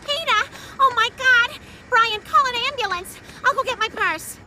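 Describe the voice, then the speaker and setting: A woman's voice: very high-pitched, normal speed. A woman playing an easily panicked, exclaiming woman in a cartoon video game. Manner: frantic, exclaiming. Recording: loud game music over the voice.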